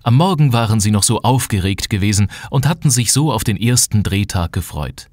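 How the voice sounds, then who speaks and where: A man's voice: lowish voice, expressive, a little fast, a little mellow, no room sound. A man narrating a children's audio drama as its storyteller.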